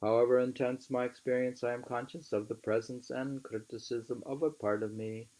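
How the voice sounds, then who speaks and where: A man reading literature aloud in an emphatic, deliberate, musing way, with informal asides. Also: medium pitch, level tone, darkish tone, rough recording. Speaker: reader.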